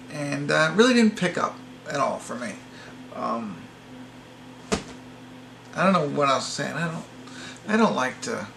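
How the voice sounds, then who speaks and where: A man's voice: on the high side, vocal fry, fairly bright tone, a little hiss or hum. A man giving a casual, unscripted movie review to viewers, rambling and hesitant, with an apologetic way of talking. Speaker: amateur movie reviewer, host.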